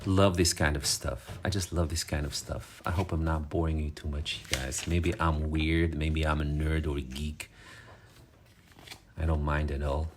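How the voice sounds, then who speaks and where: A man's voice: a bass voice, little pitch movement, moderate pace, a little hiss or hum. A man from Morocco, talking casually and fondly as he leafs through an old magazine, rambling with fillers and restarts. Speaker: vlogger. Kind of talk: casual show-and-tell vlog.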